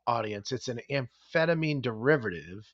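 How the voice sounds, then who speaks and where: A man's voice: mid-range voice, animated, normal speed, fairly bright tone, no echo. A man with a Boston accent co-hosting a casual podcast, chatty and jokey, often turning questions to his co-host. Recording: dull, dry podcast audio.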